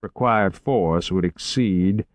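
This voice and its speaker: Man voicing urbane, low-voiced, drily witty investigator. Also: no echo. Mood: matter-of-fact. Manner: genteel, measured, dry. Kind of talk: audiobook character acting.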